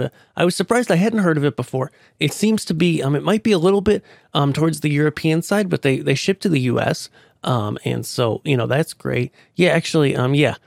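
A man with a cold hosts his podcast in a loose, rambling way, with lots of ums and restarts. His voice has a gravelly edge, and the recording is close and dry. His pitch sits in a middle range. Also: a little mellow, no echo. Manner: rambling, many fillers and restarts. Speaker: podcast host. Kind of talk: casual solo podcast talk.

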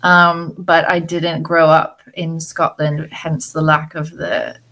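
A British woman appears as a guest on a casual podcast. Her voice sits a little low, and she thinks aloud, rambling and reflective, with plenty of fillers. She talks at an easy, conversational pace. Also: level tone, slow pace, a bit bright. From British, raised partly abroad. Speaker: women's mentor and podcast guest. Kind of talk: casual interview chat. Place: farm home in a village.

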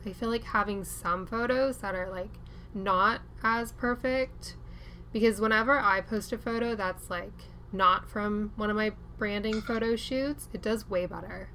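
A young woman hosts a podcast in a casual, chatty way, with a lot of "like" in her speech. Her voice sits at a middle pitch, and a faint noise runs under the recording. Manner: casual, relaxed, peppered with "like". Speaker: podcast host. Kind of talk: podcast interview.

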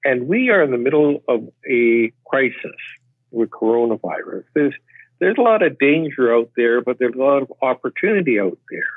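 Measured man on a tinny phone line. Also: mid-range voice, lively intonation, no echo. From Canada. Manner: measured, hesitant with many uh's. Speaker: podcast guest, immigration expert. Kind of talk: interview answers.

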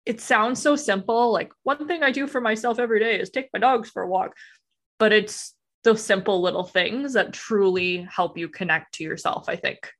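A woman's voice: medium pitch, normal speed, fairly bright tone. A woman from Alberta with a Western Canadian accent, chatting informally and ramblingly as a podcast guest. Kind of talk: casual interview chat.

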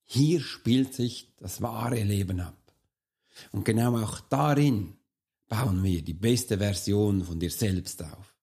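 A hoarse man, a coach and podcast host, talking earnestly and straight to the listener in a solo motivational monologue. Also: lowish voice, takes it slowly, mellow.

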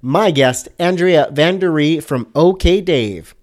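A man's voice: on the high side, slow. A man hosting an interview podcast, playful and jokey, with self-deprecating asides. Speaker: podcast host. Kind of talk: casual interview, upbeat show intro.

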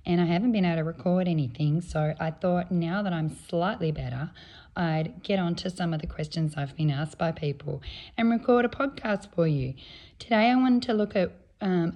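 Chatty woman, croaky from a cold, talking off the cuff as a podcast host. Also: lowish voice. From Australia. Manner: chatty, relaxed, with frequent ums. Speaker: podcast host and cat breeder. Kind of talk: off-the-cuff explanatory podcast talk.

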